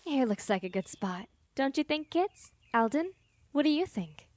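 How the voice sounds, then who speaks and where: A woman acting a brisk, firm middle-aged guardian mother in a fantasy audio drama, decisive and matter-of-fact. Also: high voice, sing-song, no echo. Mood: bright, brisk.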